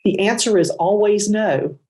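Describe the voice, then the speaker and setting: A woman's voice: mid-range voice, measured pace, a little mellow. A woman guest on a podcast, speaking earnestly and encouragingly in casual interview chat.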